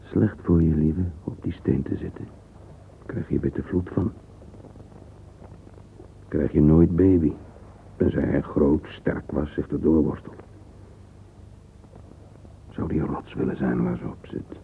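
An actor playing a wistful middle-aged man lost in thought, murmuring a halting interior monologue in a radio play. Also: rumbling low, fairly even intonation, gravelly, heavily acted. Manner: murmuring, halting, fragmentary musing, sometimes whispered. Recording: narrow-band lo-fi old radio recording, hissy.

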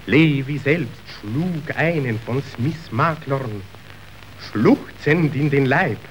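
Slow, theatrical male messenger of doom. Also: medium pitch, animated, slight background noise, low-quality recording. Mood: grave, despairing. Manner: theatrical, dramatic, swinging from grave to frenzied. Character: impassioned male messenger reporting a stock market collapse. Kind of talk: declamatory verse narration in German radio drama.

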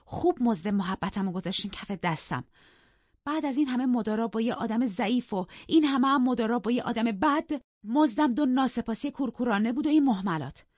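A woman's voice: fairly high pitch, animated, darkish tone, no room sound, dull audio, voice pushed up. A woman voicing a proud, fiery young wife with a heated, reproachful and taunting manner. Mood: furious, reproachful.

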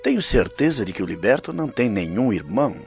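A man's voice: medium pitch, sing-song, fast talker, faint music behind. A man playing a scornful, suspicious, perplexed Roman in a radio drama. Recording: dull lo-fi audio.

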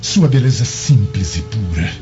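An actor playing a courtly, lovestruck Roman governor in a radio drama, speaking ornately and theatrically. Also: male voice, on the low side, sing-song, measured pace, warm tone. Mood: pleading, ardent. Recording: dull lo-fi audio with music.

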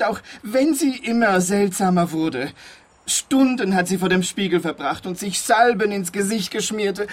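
An actor playing a bewildered, grieving male dancer in a radio play, incredulous and aggrieved. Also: medium pitch. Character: bewildered, distraught male dance partner, mourning husband.

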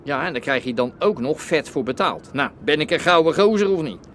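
Persuasive smuggler, fairly high lively voice, faint noise. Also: male voice, brisk. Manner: smooth-talking, persuasive. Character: slick male smuggler recruiting a crewman. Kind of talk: radio drama acting.

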